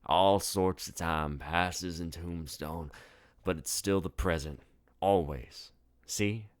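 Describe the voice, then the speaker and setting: A man's voice: deep voice, slow. Man putting on a drawling old Western bartender. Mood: folksy, mysterious. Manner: drawling, folksy, theatrical. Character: folksy old male saloon bartender, boastful storyteller.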